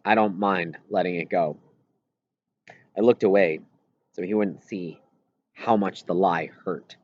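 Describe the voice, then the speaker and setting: A man's voice: deep voice, a little slow. A man reading a first-person story aloud as scripted audiobook narration. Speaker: audiobook reader. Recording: roomy echo, slightly dull lo-fi sound.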